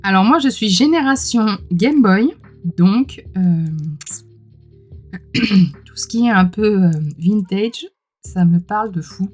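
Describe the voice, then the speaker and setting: A woman's voice: mid-range voice, takes it slowly, pauses often, a little mellow. A woman who is a little under the weather, chatting informally and enthusiastically as she hosts an unboxing. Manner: gushing, informal, exclaims often. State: mildly ill, clearing throat. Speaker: host of a tarot unboxing channel. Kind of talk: casual unboxing commentary. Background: music.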